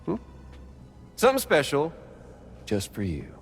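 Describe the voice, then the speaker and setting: A man's voice: on the high side, huge pitch swings, a little slow, creaky, soft background music, full character voice. An actor playing a theatrical, mocking game villain, drawling his menace. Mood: sadistic, menacing. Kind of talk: video game cutscene acting.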